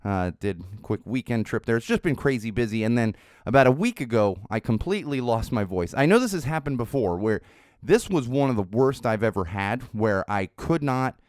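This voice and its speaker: Slightly raspy man hosting a podcast. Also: mid-range voice, animated, moderate pace, a little mellow, dry recording, clean studio sound. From Southern California. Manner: chatty, rambling asides with frequent uhs. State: recovering from lost voice. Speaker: podcast host. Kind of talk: solo podcast monologue.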